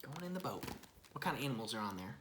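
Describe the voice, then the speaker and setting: A woman's voice: rumbling low, sing-song, quick pace, roomy sound, background noise. A woman reading a children's Bible story aloud expressively, chatting with a young child and asking simple questions.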